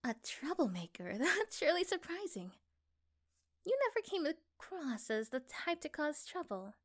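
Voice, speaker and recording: Woman acting a high-voiced, tender, curious girlfriend. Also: sing-song, moderate pace. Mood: surprised, concerned. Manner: soft-spoken, breathy at times, tender. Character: caring, curious young girlfriend, gentle and reassuring. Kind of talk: ASMR roleplay acting.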